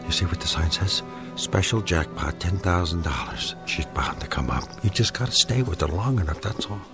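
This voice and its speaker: An actor playing an obsessed, irascible older husband in a radio drama, speaking in a pompous, ranting, formal way. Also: male voice, deep voice, lively intonation, moderate pace. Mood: grimly determined. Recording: radio drama with music underneath.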